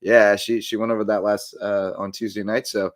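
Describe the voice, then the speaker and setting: Hoarse man hosting a sports podcast. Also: on the low side, little pitch movement, normal speed, dry recording, studio quality. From South Carolina. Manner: rambling, filler-heavy. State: hoarse, tired voice. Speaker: podcast co-host. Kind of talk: casual sports talk.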